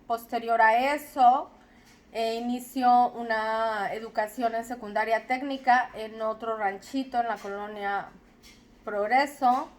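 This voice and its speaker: A young adult woman from Zacatecas with a Mexican accent, slowly telling her personal story in a reflective, deliberate way. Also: on the high side, fairly even intonation. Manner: slow, deliberate, reflective with repetitions.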